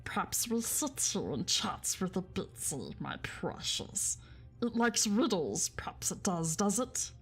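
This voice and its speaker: A woman's voice: medium pitch, sing-song, measured pace, faint music behind. A woman voicing a small, slimy cave creature who whispers and hisses slyly, reading a storybook aloud. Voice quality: hissing whisper.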